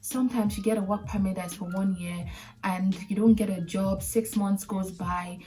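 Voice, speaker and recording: A Nigerian woman in her late twenties hosts a casual advice vlog. She talks straight to the viewer, leaning on key words and repeating them to drive each point home. Her voice sounds a little distant from the microphone, and her pitch sits in an ordinary middle range. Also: fairly even intonation, moderate pace, mellow. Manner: emphatic, direct, repeats points for stress. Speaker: video host.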